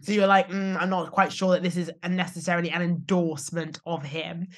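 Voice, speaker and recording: A woman's voice: lowish voice, level tone, brisk. Chatty woman host who laughs easily. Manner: chatty, laughs easily, asks long winding questions. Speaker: podcast host and interviewer. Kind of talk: casual interview conversation.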